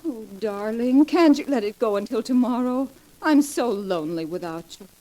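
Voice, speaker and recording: An actress playing a possessive, jealous young wife with pleading, theatrical delivery in a 1940s radio melodrama. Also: female voice, a little high, animated, moderate pace, mellow, close to an everyday voice, rough recording. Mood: clinging, tender. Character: possessive, frightened young wife feigning blindness, clinging and jealous. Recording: vintage 1940s radio recording.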